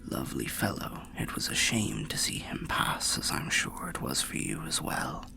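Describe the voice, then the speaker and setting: Man voicing a very deep, gravelly whispering old undead warrior. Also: animated, full character voice. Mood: welcoming, reflective. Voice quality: breathy, gravelly half-whisper. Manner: slow, rambling, archaic, gently cautionary storyteller. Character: weary, kindly old undead warrior soul, cryptic guide. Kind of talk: ASMR fantasy roleplay monologue.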